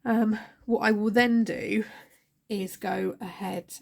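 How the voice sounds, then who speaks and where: Tired woman with a creaky voice. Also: mid-range voice, steady tone, slow pace, darkish tone. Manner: chatty, self-mocking, talks to her cat. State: fluey and tired. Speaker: teacher and budgeting vlogger. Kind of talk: casual chat to camera. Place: at home, indoors.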